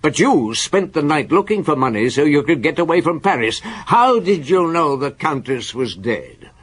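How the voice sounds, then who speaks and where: An actor playing a gruff, world-weary chief police inspector who questions people with terse authority in a radio drama. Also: male voice, fairly high pitch, big pitch swings, moderate pace. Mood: probing, stern.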